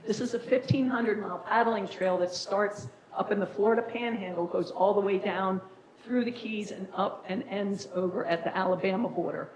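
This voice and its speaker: A raspy-voiced woman gives a council presentation in a casual, friendly way, with plenty of fillers. Her voice sits mid-range in pitch. The chamber around her is noticeably echoey. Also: normal speed, crowd noise. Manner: friendly, informal presenting style with frequent fillers. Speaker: nonprofit director giving a presentation. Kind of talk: public presentation at a council meeting.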